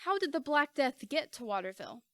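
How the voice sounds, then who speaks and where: A woman playing a brisk, wry, inquisitive newspaperwoman in an amateur radio play. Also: sits high, sing-song, no room sound, studio quality. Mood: curious, brisk.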